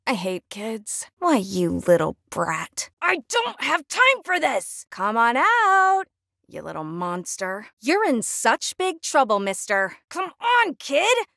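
A stern young female night guard in a horror game. Her voice is high and swings widely in pitch, irritated and exasperated, captured very dry and close. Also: wildly swooping pitch, slow, gravelly, sharp tone, dry recording, exaggerated voice. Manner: stern, exasperated, sing-song when coaxing. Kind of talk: video game voice lines.

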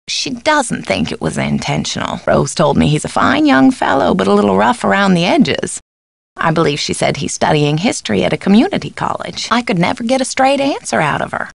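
A kindly middle-aged American housekeeper in a mystery video game. Her lowish voice is heavily creaky and moves in lively swoops, recorded close and dry. She sounds genteel, caring and gently confiding. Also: no echo, heavily acted. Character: kindly, motherly, concerned housekeeper woman on the phone. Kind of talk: acted game dialogue lines.

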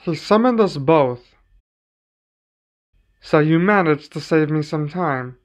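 A man's voice: fairly high pitch, lively intonation, slow. A man playing a scheming villain lieutenant in an audio roleplay, polite and persuasive toward his master. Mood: casual scheming. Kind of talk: roleplay ASMR villain acting. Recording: slightly dull sound, music behind.

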